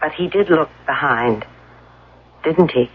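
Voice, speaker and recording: A woman's voice: sits low, animated, takes it slowly, slightly rough, heavily acted. Insistent captive woman, dull radio sound. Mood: probing. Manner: insistent, probing, persuasive. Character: determined, coaxing woman captive plotting escape. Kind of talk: radio drama acting. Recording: dull, dry lo-fi radio broadcast.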